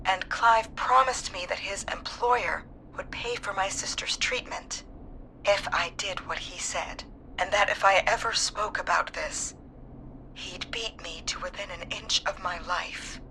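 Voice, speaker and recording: A woman's voice: medium pitch, a little slow, bright, forceful tone, a little hiss or hum, low-quality recording. An actress playing a frightened, pleading woman in a video game, earnest and distressed.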